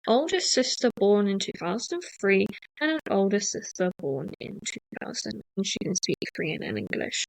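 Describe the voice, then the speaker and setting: A woman hosting a podcast, talking in a rambling, hesitant way with many fillers and restarts, and deliberately speaking a little slower than usual. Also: medium pitch, lively intonation, creaky, poor audio. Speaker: podcast host. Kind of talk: casual rambling monologue.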